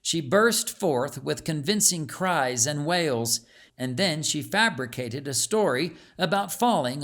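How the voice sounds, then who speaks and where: Male audiobook narrator, very slow pace, mid pitch, measured, dramatic storytelling. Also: fairly bright tone.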